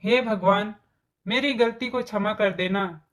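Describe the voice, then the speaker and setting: A meek old mother-in-law in a storybook drama, performed by a woman. She speaks slowly, with a gentle, plaintive manner. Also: mid-range voice, close to an everyday voice. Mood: remorseful. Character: meek elderly mother-in-law, worried and remorseful.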